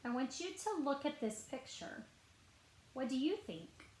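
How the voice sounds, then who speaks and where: Female children's librarian for storytime read-aloud: higher-pitched voice, unhurried, expressive delivery, roomy sound. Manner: expressive storytelling, clear deliberate phrasing, asks listeners questions. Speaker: school librarian. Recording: roomy echo.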